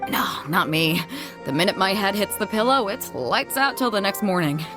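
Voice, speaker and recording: A woman's voice: mid-range voice, expressive, normal speed, close to an everyday voice. An actress playing a breezy, self-assured female sea captain with a casual, easygoing manner in a video game cutscene. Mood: thoughtful, casual. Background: background music.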